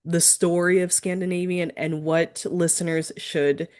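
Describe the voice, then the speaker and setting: Woman, lowish voice, interviewer, friendly questions. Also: level tone, a little slow, darkish tone.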